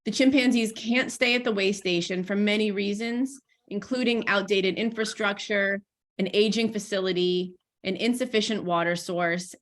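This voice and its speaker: A woman's voice: mid-range voice, fairly bright tone. A woman hosting a video-call briefing as program director, a little stuffy from a cold, upbeat with small restarts.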